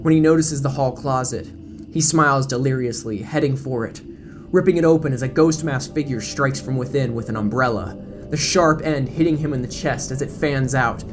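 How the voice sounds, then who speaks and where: A man's voice: medium pitch, normal speed, music in the background. A man giving dramatic scripted narration as the narrator of a horror audio drama.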